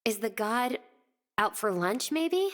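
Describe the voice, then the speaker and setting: A woman's voice: fairly high pitch, big pitch swings, slow pace, a bit bright, full character voice. A woman playing a sardonic, skeptical teenage girl in a video game, casual and sarcastic. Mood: sarcastic, annoyed.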